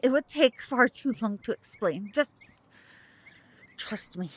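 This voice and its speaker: Magician mother, played by a woman: gentle but firm, bright, slightly high voice, audio drama. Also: lively intonation, takes it slowly, a little hiss or hum. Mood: earnest, urgent.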